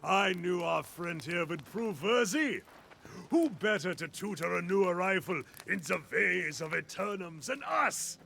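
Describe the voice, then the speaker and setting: A jovial, eager warrior mentor for a fantasy video game. The voice is heavily creaky and fairly high for a man, with slow, boisterous, swooping delivery. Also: animated, pushed and bright, a little hiss or hum, heavily acted. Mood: exuberant. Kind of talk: video game cutscene acting.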